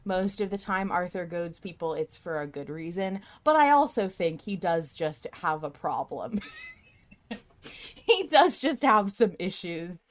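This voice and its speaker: A woman's voice: on the low side, sing-song, measured pace. A woman hosting a casual fan discussion podcast, talking informally and swearing freely. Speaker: podcast host.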